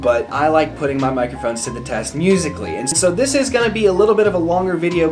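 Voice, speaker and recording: A young man, a musician, casually reviewing a microphone in an upbeat, chatty way and explaining the tech terms plainly. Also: fairly high pitch. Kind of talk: casual product review vlog. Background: background music.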